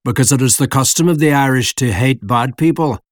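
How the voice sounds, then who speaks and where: A man's voice: medium pitch, brisk, no echo, studio quality, lightly acted. A man voicing a stern, rude, suspicious townsman in an audiobook, curt and accusing.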